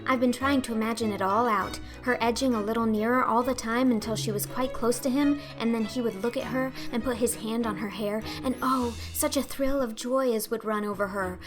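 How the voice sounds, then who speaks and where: Woman playing a high-voiced, dreamy, talkative orphan girl. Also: steady tone, a little fast, few pauses, music in the background, natural voice. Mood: wistful, imaginative. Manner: earnest, effusive, rambling. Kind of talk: audiobook character acting.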